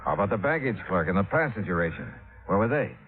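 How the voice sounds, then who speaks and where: A man's voice: on the low side, sing-song, fast talker, soft background music. An actor playing a cool, dogged American insurance investigator in a 1950s radio detective drama, clipped and wry as he questions people. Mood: dry, patient. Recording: old radio recording, narrow phone-like band.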